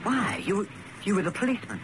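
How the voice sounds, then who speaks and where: A suave but easily flustered hero in a radio thriller. The voice sits a little high and moves around a lot, polite and hesitant. There is clear noise on the old recording. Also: male voice, animated, fast talker. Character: suave male hairdresser drawn into espionage, polite, flustered.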